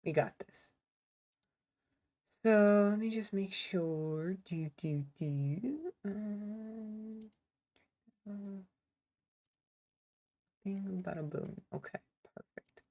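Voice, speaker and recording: A woman's voice: mid-range voice, drawn-out words, pauses often, warm tone. A woman streamer giving casual gameplay commentary, rambling and talking to herself with lots of fillers. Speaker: small streamer.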